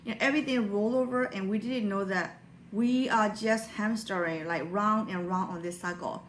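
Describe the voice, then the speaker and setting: A woman's voice: medium pitch, normal speed, roomy sound, slight background noise. A woman from Burma, Burmese her first language, talking earnestly in a rambling motivational vlog with non-native grammar and many fillers. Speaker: motivational vlogger. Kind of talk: personal motivational monologue.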